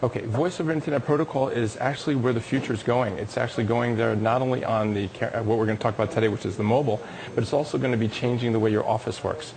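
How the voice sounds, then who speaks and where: A man's voice: medium pitch, fairly even intonation, fast talker, gravelly, hardly any pauses, a crowd in the background, low-quality recording. A man being interviewed as a company executive on a live business TV show, explaining technology with emphatic enthusiasm.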